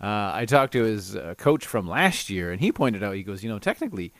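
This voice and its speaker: A middle-aged man, hosting a solo podcast with casual, animated, self-amused stories and rants. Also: lowish voice, a little fast, no echo. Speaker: podcast host. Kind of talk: casual solo storytelling and ranting.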